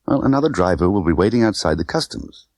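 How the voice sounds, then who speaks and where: A man's voice: low voice, lively intonation, quick pace, darkish tone, no echo, clean studio sound. A man voicing a tough, condescending young woman smuggler who talks in clipped, bossy slang. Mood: brisk, commanding.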